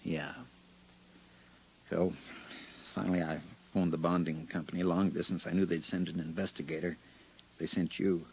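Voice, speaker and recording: A deep-voiced jittery embezzler. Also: male voice, little pitch movement, darkish tone, slight background noise, poor audio. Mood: weary. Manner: edgy, haunted and halting. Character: nervous hunted male embezzler on the run. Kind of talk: 1950s radio drama acting.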